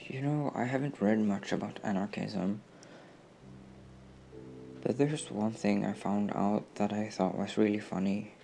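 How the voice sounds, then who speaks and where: A man speaking in a gentle, coaxing way, as in an intimate comfort roleplay. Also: on the low side, steady tone, vocal fry, faint music behind. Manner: gentle, coaxing, slow and unhurried. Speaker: roleplay audio performer playing a caring comrade.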